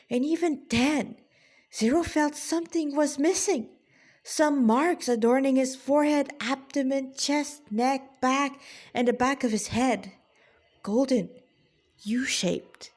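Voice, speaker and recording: A woman, an author reading her own story in a dramatic, deliberate way with informal asides. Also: sits high, a little mellow.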